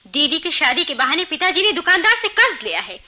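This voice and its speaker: An actress playing an outspoken young daughter, the younger sister, in a radio drama. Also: female voice, sits high, sing-song, a little fast, pushed and bright, exaggerated voice. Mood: accusing.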